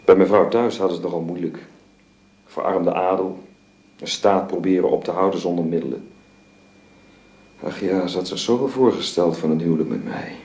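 A man acting in a radio drama as a sorrowful, gentlemanly husband, speaking haltingly and reflectively. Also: deep voice, natural voice. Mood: wistful, brooding. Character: sorrowful husband of a dying woman, gentlemanly and brooding.

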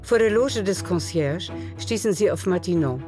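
A woman's voice: fairly low pitch, lively intonation, normal speed. A woman narrating a literary radio drama in a scripted, storytelling delivery. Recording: music behind the voice.

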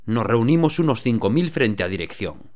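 A man narrating a radio drama in the first person, telling the story in a steady, even way. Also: mid-range voice, normal speed.

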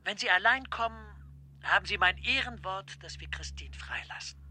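An actor playing a smooth, threatening official who blackmails a young man and sinks into whispered threats. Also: sits high, expressive, moderate pace, sharp tone, full character voice. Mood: menacing. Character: menacing male EU director general, blackmailer.

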